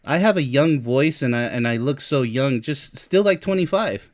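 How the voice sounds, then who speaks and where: A man's voice: mid-range voice, animated, normal speed. Blunt, sarcastic man in his forties. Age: 40s. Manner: blunt and boastful, with sarcastic asides and short laughs. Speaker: relationship coach and vlogger. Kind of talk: casual monologue reading from a list. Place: Europe.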